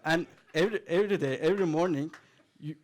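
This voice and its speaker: A man's voice: a little high, fast. A man giving a chatty conference talk to an audience, with many fillers and the odd chuckle. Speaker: conference speaker. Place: conference hall, audience present.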